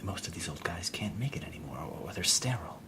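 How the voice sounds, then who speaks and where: An actor playing a furtive doctor in an audio drama, speaking in a clinical, coaxing, hushed manner. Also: male voice, low-pitched, animated, fast, noisy background. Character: furtive, insinuating doctor offering illicit help. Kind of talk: understated audio drama acting.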